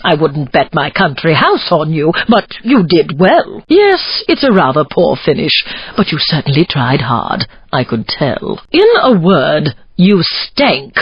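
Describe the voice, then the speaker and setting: A woman's voice: deep voice, very animated, unhurried, slightly rough, no echo, exaggerated voice. A woman voicing a prim, polite upper-class English race announcer in a video game, with a genteel, dryly teasing British delivery. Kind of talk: scripted video game voice lines. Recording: muffled lo-fi game audio.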